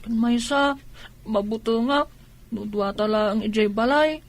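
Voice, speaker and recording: A woman's voice: on the high side, unhurried. A woman with an Ilocano accent playing a timid little orphaned child in a radio comedy drama, childlike and a bit whiny. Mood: frightened.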